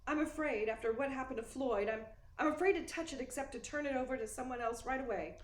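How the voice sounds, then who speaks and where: A woman's voice: high voice, quick pace, natural voice. A woman playing a demure, anxious, scheming femme fatale in a radio play, with a theatrical, hesitant, pleading manner. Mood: fearful, needling. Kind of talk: radio play acting in 1940s noir manner. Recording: roomy, echoey sound with faint noise.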